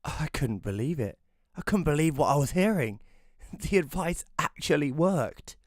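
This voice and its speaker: A man's voice: a little high, big pitch swings, moderate pace, no echo, clean studio sound. A man playing a self-doubting young magazine columnist who narrates an audio drama in a wry, hesitant manner. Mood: wry narration. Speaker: narrator and lead character. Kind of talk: first-person audio drama narration and dialogue.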